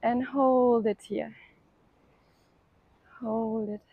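High-voiced yoga teacher lady, calm encouraging cues, super dry close sound. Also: very slow, breathy edge, no room sound, phone-quality sound. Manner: calm, encouraging cueing of breath and poses. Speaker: yoga instructor. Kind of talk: guided workout instruction.

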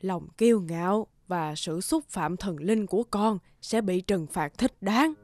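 A woman voicing a kindly, grave old woman in an animated fairy tale, speaking in a solemn, admonishing way. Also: mid-range voice, a little slow, faint music behind, close to an everyday voice. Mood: warning.

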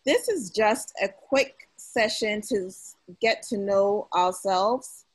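A young woman from Ghana hosting an online meeting in a relaxed, chatty way with many fillers, heard over a video call. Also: mid-range voice, takes it slowly, husky, rough recording. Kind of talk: casual meeting talk.

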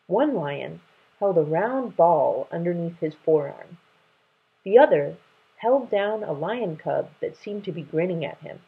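A woman's voice: lowish voice, lively intonation, unhurried, vocal fry, breathy edge. A young adult woman reads a story aloud expressively, recorded at home on a laptop mic. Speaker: storybook reader. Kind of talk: expressive read-aloud narration. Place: small room at home, indoors. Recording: dull, lo-fi webcam sound.

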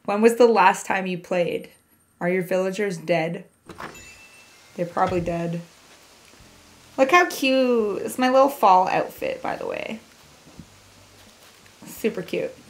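Young woman streamer, sore voice. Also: mid-range voice. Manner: chatty, playful, talks to chat. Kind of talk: casual live-stream chat while gaming. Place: home streaming room. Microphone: desk mic set back.

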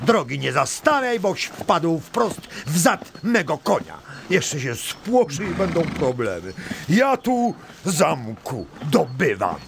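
An actor playing a haughty, blustering knight with archaic, boastful speech in a children's radio play. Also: male voice, on the high side, very animated, slow pace, gravelly, a crowd in the background, exaggerated voice. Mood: haughty, irritated.

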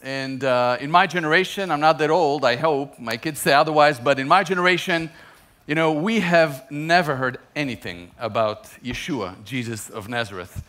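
Middle-aged male preacher, slightly high voice, earnest tone, headset mic. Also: normal speed, a bit bright. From Israel. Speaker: main speaker. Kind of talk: sermon. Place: large theater stage.

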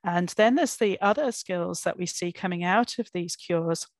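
A woman delivers an online academic keynote in a slightly low voice. She speaks thoughtfully, pausing on fillers and restarting phrases as she explains each point. The sound is dry and close, from a computer microphone. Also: no echo.

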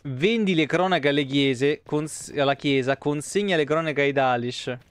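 A man's voice: a little high, measured pace, clear, resonant tone, no room sound. A man, giving casual, sweary, grumbling live game commentary into a close mic. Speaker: game streamer. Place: small indoor room.

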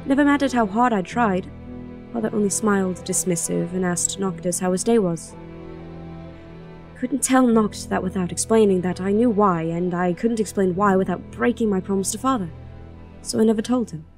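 A woman's voice: mid-range voice, moderate pace, darkish tone, close to an everyday voice. A woman playing a grieving young princess in an audio drama, wistful and introspective, longing for her father. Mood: wistful, regretful. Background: music.

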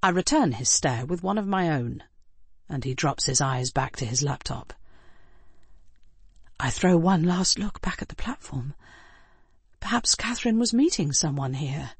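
Female audiobook narrator, lowish pitch, slightly husky edge, dramatic first-person storytelling in a dry studio sound. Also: expressive, unhurried, long pauses, dry recording.

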